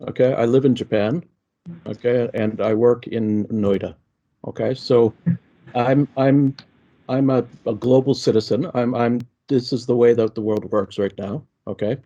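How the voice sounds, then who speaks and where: A man's voice: mid-range voice, fairly even intonation, unhurried, dark tone, muffled recording. A middle-aged man with a Canadian accent, speaking conversationally on an online panel and often checking in with "okay?". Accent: Canadian English.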